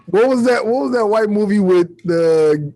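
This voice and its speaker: A man's voice: high voice, animated, normal speed, warm tone. A man hosting a podcast in a casual, laid-back chat, asking questions in loose, familiar phrasing. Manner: laid-back, often tags sentences with "man". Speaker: podcast host.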